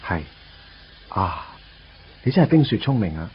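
An actor playing a shy, earnest teenage schoolboy in love, narrating and acting in a Hong Kong Cantonese radio drama. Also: male voice, lowish voice, very animated, a little slow, slight background noise. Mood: shy, hesitant. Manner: hesitant, tongue-tied, earnest. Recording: dull lo-fi radio drama audio. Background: guitar music.

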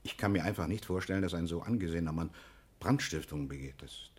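Deep husky voice, well-mannered pharmacy owner, earnest, sixties radio crime drama. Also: male voice, quick pace, a little mellow. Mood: troubled, earnest. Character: courteous male pharmacy owner, shaken but protesting. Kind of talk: 1960s German radio crime drama acting.

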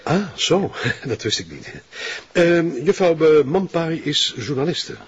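An actor playing a smarmy, self-important middle-aged police chief with a Flemish accent, often hesitating and chuckling. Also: male voice, on the high side, sing-song, takes it slowly, husky, highs cut off, full character voice. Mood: jovial, flustered. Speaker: police commissioner. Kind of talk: naturalistic TV crime drama acting.

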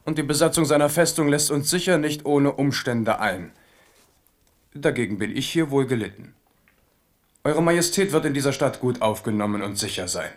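A man's voice: medium pitch, normal speed, natural voice. A man playing a proud, wary and outspoken young king and army leader in a German radio play. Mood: urgent, deferential.

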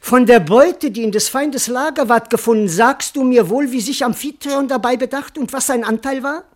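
A bewildered, plaintive cowardly servant. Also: male voice, very high voice, moderate pace. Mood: wondering, sly. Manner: plaintive, wordy, comically indignant. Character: bewildered, cowardly male servant doubting his own identity. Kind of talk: classical verse comedy radio acting.

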